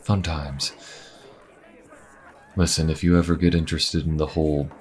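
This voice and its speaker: A man playing a weary, easygoing inquisitor in a fantasy audio roleplay, chatting in a wry, conversational way with musing pauses. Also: level tone, a little mellow. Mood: wry, reminiscing. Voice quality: very deep, gravelly. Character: weary, easygoing adult male Inquisition agent and adventurer. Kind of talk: fantasy audio roleplay, in-character small talk to the listener.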